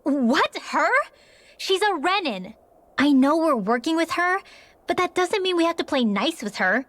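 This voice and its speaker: A woman's voice: sits high, very animated, unhurried, a bit bright, dry recording, full character voice. A woman playing a feisty, distrustful young female fighter in a video game, blunt and informal.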